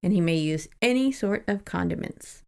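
A woman's voice: lowish voice, expressive, normal speed, vocal fry, darkish tone, clean tone. A woman co-hosting a podcast, chatting casually about a book. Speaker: podcast co-host.

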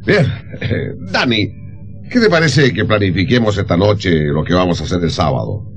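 An actor playing a shrewd, tactful impresario in an old radio drama, with a measured, avuncular, sometimes hesitant manner. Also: male voice, deep voice, big pitch swings, vocal fry, soft background music, heavily acted. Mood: affable. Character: shrewd, tactful businessman, male impresario of a musician.

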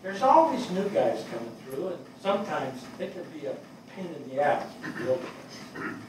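An older, high-pitched fire captain, played by a man on stage, grieving and plain-spoken, feels his way haltingly through his words in a weary, reluctant manner. The sound comes from far off in a very echoey theatre. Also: very animated. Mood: fond, reminiscing. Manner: halting, hesitant delivery. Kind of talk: dramatic stage reading of a play. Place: small theatre stage before a seated audience. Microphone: distant camera microphone. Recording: very echoey hall, far microphone, noisy.

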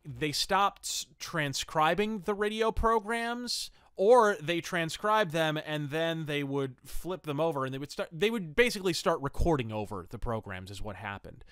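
A man's voice: on the high side, lively intonation, moderate pace, few pauses, fairly bright tone, clean tone, no echo, studio quality. A man hosting a review podcast, enthusiastic and semi-scripted, mixing ornate, wordy phrasing with blunt asides.

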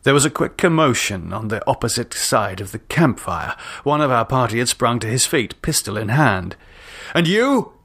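A man's voice: mid-range voice, lively intonation, moderate pace, few pauses, fairly bright tone. A man narrating a scripted story as a dramatic audiobook reader.

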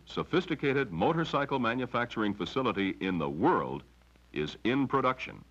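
A middle-aged man narrates a company film in a measured, promotional tone. His husky voice rises and falls widely through each line. The sound is dull and lo-fi, like an old 1970s film soundtrack. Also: on the low side, a bit bright. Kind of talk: scripted corporate narration.

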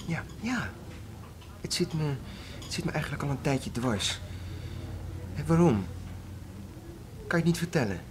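An actor playing a stammering young son in a radio drama. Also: male voice, fairly high pitch, expressive, a little slow, pauses often. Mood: hesitant. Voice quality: hesitant, stammering. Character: awkward young son asking about his father. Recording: noisy old radio play recording.

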